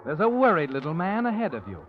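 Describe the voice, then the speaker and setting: A man's voice: a little high, huge pitch swings, fast talker. A man narrating an old radio thriller in the second person, ominous and insinuating. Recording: dull old radio recording with music.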